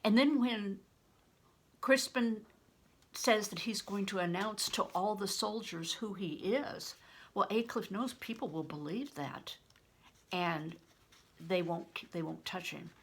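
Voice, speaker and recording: An older woman, a grandmother, reading a storybook aloud in a deliberate, phrase-by-phrase way. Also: mid-range voice, husky. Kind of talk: storybook narration with plain spoken asides.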